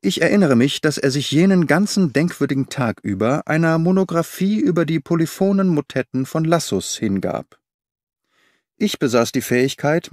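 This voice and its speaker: A man narrating an audiobook in the first person, measured and expressive. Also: medium pitch, no echo, studio quality.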